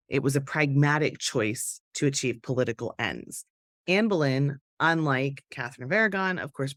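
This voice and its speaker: A hoarse woman hosting a history podcast, talking casually and with enthusiasm straight to her viewers about her topic. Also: a bass voice, no room sound, clean studio sound. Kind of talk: casual educational talk to camera.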